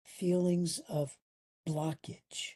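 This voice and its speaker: A woman leading a guided meditation as instructor, gentle and soothing, with long pauses and a breathy voice that at times nears a whisper. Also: on the low side, flat delivery.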